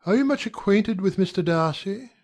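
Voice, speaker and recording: A courteous young gentleman of a period novel, acted by a man, with a fairly high male voice. He speaks in measured, formal phrases, smooth and confiding yet guarded, as dialogue in an audiobook drama. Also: expressive.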